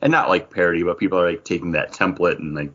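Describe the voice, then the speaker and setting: A man hosting a casual podcast, chatting in an animated, joking way with quick asides. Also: low-pitched, muffled recording. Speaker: podcast host.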